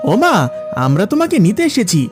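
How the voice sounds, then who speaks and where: A man's voice: sits high, wildly swooping pitch, brisk, over loud music. A man voicing a selfish grown son in a moral cartoon, coaxing and pleading with his mother. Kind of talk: Bengali moral cartoon dialogue.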